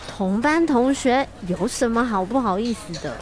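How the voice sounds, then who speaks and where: A woman's voice: fairly high pitch, animated, moderate pace, natural voice. An actress playing a curious, caring mother at a night market stall in a children's radio drama.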